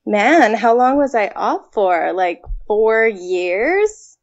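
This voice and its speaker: A woman's voice: a little high, sing-song, takes it slowly, muffled recording. A young woman co-hosting a podcast in an informal, conversational way, chatting casually. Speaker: podcast co-host. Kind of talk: casual chat.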